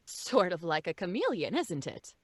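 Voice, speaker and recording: Fretful, genteel mother, lively intonation. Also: lowish voice, moderate pace, dry recording, studio quality. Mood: uneasy, polite. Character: genteel, worried mother, fussing and placating. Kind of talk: old-time radio drama acting. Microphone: studio microphone on a video call.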